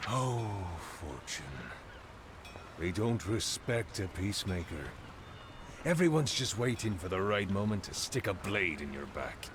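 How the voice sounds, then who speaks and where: Deep, menacing deposed pirate king. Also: big pitch swings, unhurried, background noise. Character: deposed pirate king, menacing, proud, fatalistic grown man.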